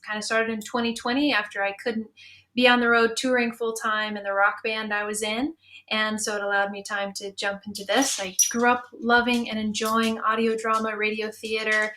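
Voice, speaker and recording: A woman's voice: fairly high pitch, bright, forceful tone. A woman leading a casual panel talk in a chatty, informal and enthusiastic way. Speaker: panel moderator, musician and indie audio drama creator.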